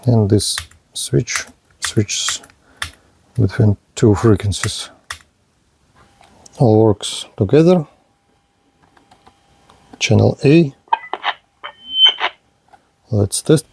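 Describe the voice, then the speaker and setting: A man with a dark, creaky voice walks through a product hands-on in short, halting phrases. His English phrasing is non-native and his delivery is very unhurried. There is faint noise behind him. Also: fairly low pitch, animated. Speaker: radio reviewer.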